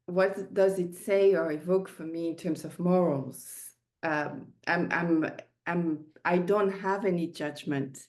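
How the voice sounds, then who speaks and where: A woman's voice: on the low side, darkish tone. A woman in a coaching discussion, speaking tentatively and repeating words as she thinks.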